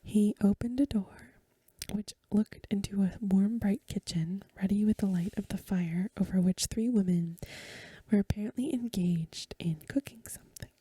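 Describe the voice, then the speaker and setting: A woman reading a story aloud in a soft, breathy, mostly whispered ASMR style, gentle and unhurried, close to the microphone. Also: medium pitch, no room sound, rough recording. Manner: gentle, unhurried, literary narration.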